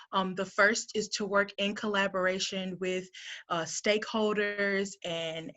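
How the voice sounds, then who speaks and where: A woman's voice: mid-range voice, bright, forceful tone. A woman in her thirties from Alabama, a recruiter giving an upbeat, earnest presentation to students over a video call, laughing lightly between points. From Montgomery, Alabama, US.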